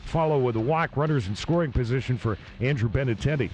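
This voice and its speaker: Steady man narrating a game recap. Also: mid-range voice, fast, soft background music, low-quality recording. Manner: steady, matter-of-fact summarizing. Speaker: recap host.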